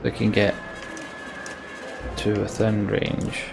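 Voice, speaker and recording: A man's voice: fairly low pitch, completely flat delivery, slow pace, vocal fry. A man commentating casually on a video game as he plays, thinking aloud and reading story text out. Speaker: let's play video host. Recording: game music behind the voice. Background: video game music.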